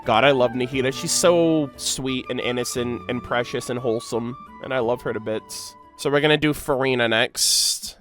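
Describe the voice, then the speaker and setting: A man's voice: medium pitch, moderate pace, few pauses. A man hosting a gaming reaction video, commenting casually in slangy, sweary, informal language. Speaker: reaction video host, streamer. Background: faint music.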